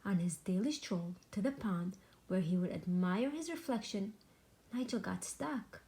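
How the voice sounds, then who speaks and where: A woman reading a children's storybook aloud with deliberate, dramatic, expressive narration. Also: mid-range voice. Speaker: storybook reader.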